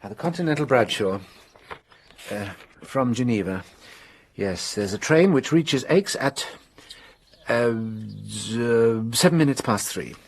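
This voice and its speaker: A self-important master detective from a radio mystery. His voice is husky and swings freely in pitch. He is composed and commanding, with a wry, teasing streak. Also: animated, unhurried, long pauses. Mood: unhurried, confident. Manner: self-assured, theatrical, precise, wryly amused. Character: incomparable, vain, teasing male French detective, calm and commanding. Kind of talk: detective radio drama acting.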